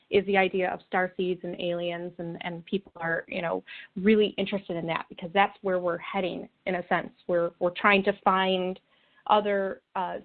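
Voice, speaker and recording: A woman guest explaining her views in an interview, earnest and reflective, with halting phrasing. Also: medium pitch. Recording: thin phone-like call audio.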